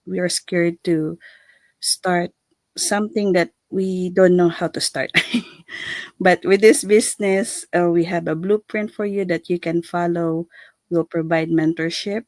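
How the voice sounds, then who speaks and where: A woman's voice: fairly low pitch, darkish tone, no room sound. A woman from the Philippines with a Filipino accent, explaining things informally as a co-host. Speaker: co-host, digital business owner.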